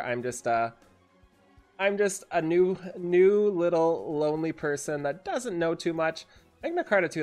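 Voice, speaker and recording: A young man with a slightly high voice talks casually as a gaming video host. He gushes in an excitable, exaggerated way and likes to repeat himself for emphasis. Music plays behind him. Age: young adult. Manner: excitable, repetitive, hyperbolic. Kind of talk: casual game update commentary.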